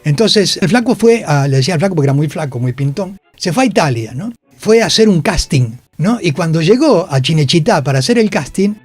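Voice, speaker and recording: A man's voice: a little high, sing-song, fairly quick, hardly any pauses, slightly rough, no echo, soft background music. An older Argentine man speaking as an interview guest on radio, telling anecdotes colloquially and repeating phrases for emphasis. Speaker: interview guest, actor and producer. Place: radio studio.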